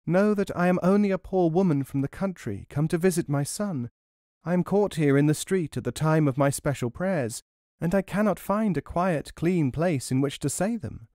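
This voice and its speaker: A man's voice: a little high, moderate pace, mellow, no room sound, clean studio sound, pitched above the performer's own voice, close to an everyday voice. A man voicing a sly, wheedling old crone. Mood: falsely humble. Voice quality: wheezy, mumbling at times. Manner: sly, sometimes falsely pious and humble. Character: cunning, bold, wheedling old crone in a folk tale.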